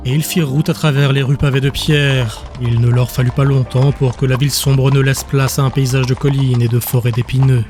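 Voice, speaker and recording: A man reads a dramatic fantasy audiobook as narrator. His voice sits at a medium pitch, with music clearly audible behind the scripted storytelling.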